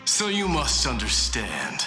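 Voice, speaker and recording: A man's voice: medium pitch, wildly swooping pitch, slow pace. An actor playing a proud, scornful but honorable warrior king in a video game, speaking with imperious, taunting deliberation. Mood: proud, solemn. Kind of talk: dramatic video game voice acting. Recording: echoey room sound. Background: faint music.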